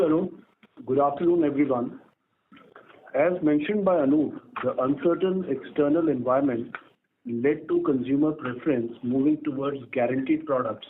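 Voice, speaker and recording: A man with an Indian accent giving a formal, scripted business update as a company executive. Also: medium pitch. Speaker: company executive on an earnings call. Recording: narrow phone line with background noise.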